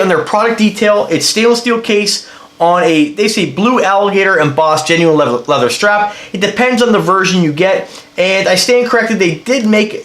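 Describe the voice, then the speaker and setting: Man, congested, high voice, rambling enthusiastic reviewer, echoey room. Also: a bit bright. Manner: rambling, enthusiastic, explains as he handles the item. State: congested with a cold. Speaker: watch reviewer. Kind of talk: casual product review.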